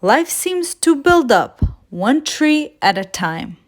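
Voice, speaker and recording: A woman's voice: high-pitched, big pitch swings, slow, pitched above the performer's own voice, heavily acted. A woman voicing an easygoing, helpful male delivery truck in a children's storybook, with a folksy manner. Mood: folksy, reflective.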